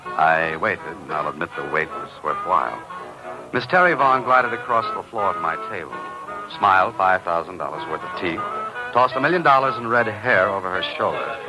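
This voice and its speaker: A man's voice: low-pitched, lively intonation, normal speed, rough recording. A man playing a cool, wry amateur sleuth in an old-time radio drama, slipping between dialogue and first-person narration. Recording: old radio recording with music behind.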